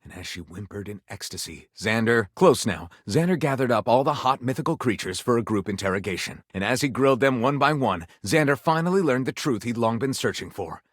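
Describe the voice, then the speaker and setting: A man plays an overwrought male game narrator spinning lurid fantasy tales. His pitch sweeps widely through theatrical, suspenseful phrases in a scripted storytelling style. The sound is dry, with hardly any room to it. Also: lively intonation, a little fast, hardly any pauses, no room sound, close to an everyday voice. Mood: melodramatic.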